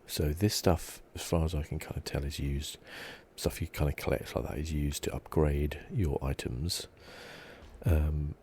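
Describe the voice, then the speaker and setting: A man giving soft, relaxed, musing ASMR commentary as host of a video game playthrough. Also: extremely low pitch, measured pace, a little hiss or hum. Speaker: gaming channel host.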